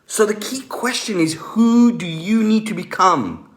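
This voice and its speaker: Male motivational coach hosting a live stream, voice on the higher side, emphatic, pausing deliberately and repeating key points. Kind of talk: motivational coaching talk to online viewers.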